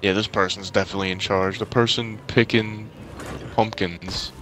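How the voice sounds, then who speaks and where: A man's voice: on the low side, fairly even intonation, moderate pace, a bit bright, slight background noise, poor audio. A man doing casual gameplay commentary in a joking, sarcastic and profane way. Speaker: gamer. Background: game music.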